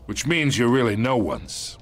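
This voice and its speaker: Smug, menacing mob boss. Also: male voice, medium pitch, big pitch swings, unhurried. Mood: quietly threatening. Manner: slangy, swaggering, taunting. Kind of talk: video game voice acting.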